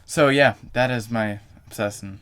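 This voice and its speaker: A man's voice: medium pitch, normal speed, a bit bright, clear, resonant tone. A young man vlogging to camera in a casual, rambling way, full of fillers and self-corrections.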